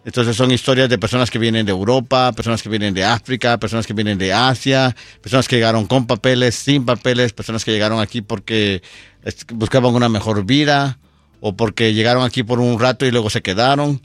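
A middle-aged man from Guatemala, telling his story as a radio guest, unhurried with many fillers. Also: medium pitch, fairly even intonation, no room sound. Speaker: storyteller and event producer, guest. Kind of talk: radio interview. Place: radio studio.

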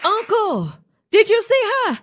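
Brief: starry-eyed young woman, very high and swooping, gushing in a rush. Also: wildly swooping pitch, measured pace, crowd noise, background noise, heavily acted. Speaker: stage actor performing a scene.

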